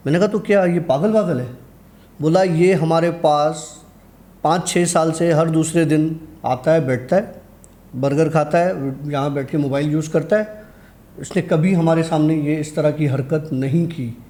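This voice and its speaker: A man's voice: a little high, unhurried, mellow. A man telling a personal horror anecdote in a conversational way, acting out the dialogue as he goes. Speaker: guest storyteller.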